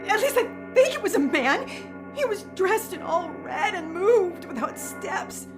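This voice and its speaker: An actress playing a terrified, shaken woman witness in a gothic audio drama, halting and tearful. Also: very high-pitched, big pitch swings, exaggerated voice. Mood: frightened. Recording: music behind.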